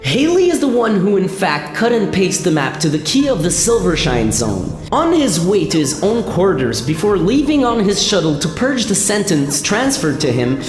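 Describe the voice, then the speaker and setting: Echoey woman narrator with lively intonation. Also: lowish voice. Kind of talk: scripted comic sci-fi radio narration. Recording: echoey, long reverb, music behind.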